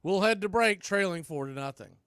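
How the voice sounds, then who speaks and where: A man's voice: high voice, lively intonation, brisk, a bit bright, clear, resonant tone, no echo. A man doing live play-by-play baseball commentary as a radio host.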